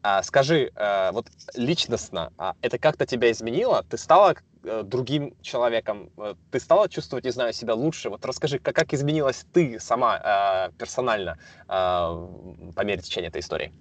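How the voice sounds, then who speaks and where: A man hosting a casual interview, asking long questions slowly with frequent hesitations. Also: mid-range voice, animated. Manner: slow, searching, frequent hesitations. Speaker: interview host.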